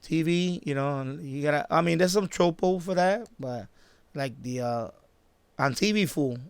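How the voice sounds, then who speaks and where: A man chatting off the cuff on a live stream, halting and repeating himself as he circles back to his points. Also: fairly high pitch, smooth, no room sound. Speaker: live-stream host, radio hobbyist. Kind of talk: casual unscripted live-stream chat.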